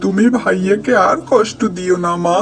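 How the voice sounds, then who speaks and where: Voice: medium pitch, fairly even intonation, normal speed, creaky, a bit bright, faint music behind. A performer voicing an affectionate, easily frightened young boy in a children's cartoon, earnest and pleading. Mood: grateful, pleading.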